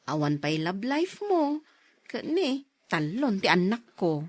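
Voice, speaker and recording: A nosy middle-aged woman friend in a radio drama. Her voice sits at an average pitch. She talks frankly and chidingly with an Ilocano accent. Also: sing-song, slow pace, no echo. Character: nosy, frank woman friend nudging Brigida toward romance.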